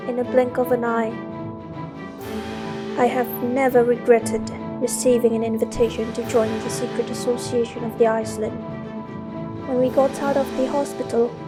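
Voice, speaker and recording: A woman's voice: on the high side, little pitch movement, moderate pace, close to an everyday voice. A young woman with an Indonesian accent playing a sincere, newly recruited student in an amateur radio drama. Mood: reflective. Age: young adult. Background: loud music.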